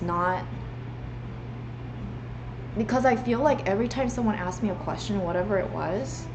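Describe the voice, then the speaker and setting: A woman's voice: mid-range voice, noisy background. A young woman livestreaming from home, talking earnestly and rambling with many restarts. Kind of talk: reflective livestream monologue. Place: home room.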